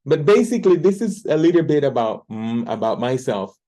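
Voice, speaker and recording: Earnest Dominican-accented man, mellow tone. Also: mid-range voice, big pitch swings, brisk. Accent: Dominican Spanish. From Dominican Republic. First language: Spanish. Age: 40s. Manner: earnest, with restarts and fillers. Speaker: language tutor. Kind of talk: self-introduction to camera. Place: home teaching office. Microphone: computer camera.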